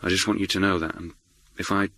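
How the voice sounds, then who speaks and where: An actor playing a pompous, self-important older zookeeper, grandiose and dramatic in a surreal comedy. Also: male voice, deep voice, flat delivery, pushed and bright, dry recording, rough recording. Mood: solemn, sentimental. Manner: grandiose, drawn-out dramatic storytelling. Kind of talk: deadpan surreal sitcom dialogue.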